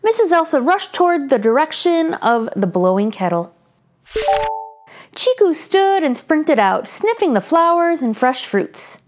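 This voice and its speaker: A woman storyteller reading a children's book aloud in an expressive, theatrical, deliberate way. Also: high-pitched, faint music behind.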